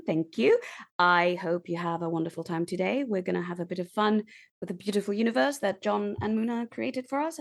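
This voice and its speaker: A woman's voice: medium pitch, gravelly. A woman with a mid-range voice speaks as a podcast player. Her delivery is casual and playful, addressed to listeners. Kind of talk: casual introduction.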